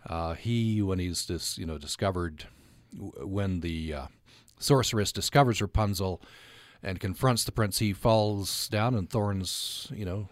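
A man with a fairly low voice hosts a conversational radio interview in a dry, close sound. He feels his way through questions, often restarting and repeating words. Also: no echo. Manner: halting, repeats words while forming questions.

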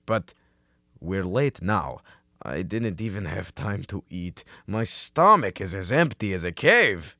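Slow, wildly swooping hapless Soviet agent played by a man. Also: low-pitched, big pitch swings, bright, forceful tone, no room sound, clean studio sound, exaggerated voice. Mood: apologetic, gloomy. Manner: halting, formal phrasing, earnest. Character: hapless, soft-hearted Soviet security man, reluctant and naive. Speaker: radio play actor. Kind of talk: radio comedy acting.

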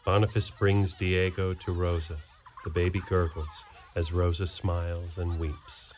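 A man's voice: sits low, flat delivery, measured pace. A man reading scripted present-tense stage directions as the narrator of a radio play. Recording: noisy radio studio recording.

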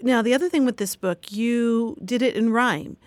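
A woman hosting an interview who asks her guest clear, upbeat questions. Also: on the high side, normal speed, no room sound. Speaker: interview host.

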